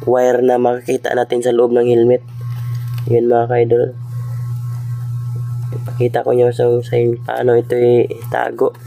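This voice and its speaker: A man's voice: medium pitch, monotone, a little slow, creaky, long pauses, warm tone, faint music behind. A man giving a casual hands-on vlog tutorial, informally addressing his viewers as he goes. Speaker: vlogger demonstrating a gadget.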